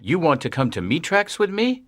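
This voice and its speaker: A woman voicing a male alien who poses as a schoolteacher, speaking in a deep, measured, stern way. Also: big pitch swings. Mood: calm, explaining.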